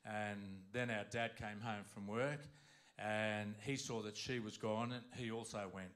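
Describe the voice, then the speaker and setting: A man's voice: lowish voice, level tone, a little slow, a bit bright. A man from Wales, sharing his personal story as a guest at a church service, plainly and matter-of-factly. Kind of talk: personal testimony.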